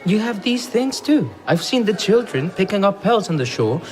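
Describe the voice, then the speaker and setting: An actor playing a modest young explorer and teacher in an audio drama, inquisitive and polite. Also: male voice, high-pitched, very animated, moderate pace, faint music behind. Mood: curious. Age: young adult.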